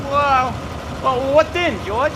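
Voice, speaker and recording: Folksy cab driver, high-pitched, in radio drama with background noise. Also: male voice, takes it slowly. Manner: folksy, chatty. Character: chatty friendly taxi driver man. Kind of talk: radio play acting.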